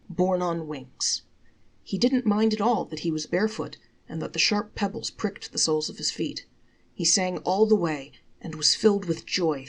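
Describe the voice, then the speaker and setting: Creaky-voiced woman storyteller reading folktales. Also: low-pitched. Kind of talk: reading folktales aloud, expressive scripted narration.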